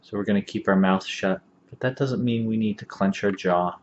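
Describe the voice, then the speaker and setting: A man leading a guided meditation as an instructor, speaking calmly and gently with long, unhurried pauses. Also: fairly low pitch. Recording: dull lo-fi audio, faint background noise.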